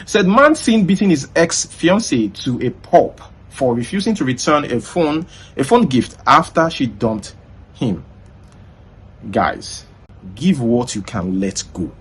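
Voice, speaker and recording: A man's voice: medium pitch, a little hiss or hum, poor audio. A Nigerian man hosting a casual commentary vlog, chatting to viewers and laughing often. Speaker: vlog host.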